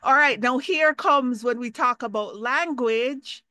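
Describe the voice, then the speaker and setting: A woman's voice: a little high, unhurried, pushed and bright, no room sound. A woman hosting an online club meeting over a video call, playful and joking between speakers. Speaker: meeting host.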